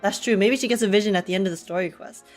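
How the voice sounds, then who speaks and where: A woman's voice: medium pitch, rapid-fire, faint music behind. A young woman chatting casually as she livestreams a game, with a giggly, slangy manner. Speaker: live streamer.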